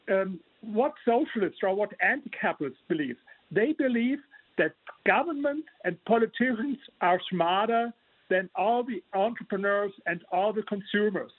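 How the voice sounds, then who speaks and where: A man's voice: sits high, breathy edge, dry recording. A man from Germany with a German accent, an author explaining his views in an earnest way with some restarts, heard over a remote line. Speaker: author guest. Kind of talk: remote interview. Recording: tinny phone-like line.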